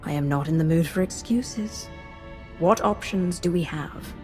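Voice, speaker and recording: A woman's voice: on the low side, a little slow, a little mellow, soft background music, close to an everyday voice. A woman playing a ruthless, haughty Sith lord in a video game, giving commands with cold menace. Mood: cold, commanding. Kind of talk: scripted video game acting.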